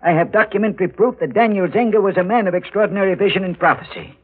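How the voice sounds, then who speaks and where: An actor playing an earnest old town librarian with formal, halting, bookish diction in 1940s radio drama style. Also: male voice, high voice, slightly rough. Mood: excited, earnest. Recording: muffled vintage radio recording.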